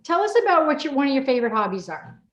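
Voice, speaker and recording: Female host for a casual panel chat, high voice, excitable, echoing room. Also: rapid-fire, muffled recording. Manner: excitable, repeats phrases. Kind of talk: casual group video chat. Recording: roomy, echoing sound.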